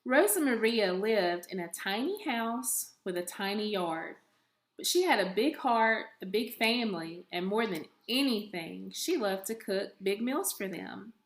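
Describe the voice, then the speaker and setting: A woman reads a picture book aloud in an echoey room. She takes it very slowly, with deliberate and expressive phrasing meant for young children. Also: medium pitch. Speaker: elementary school teacher reading aloud.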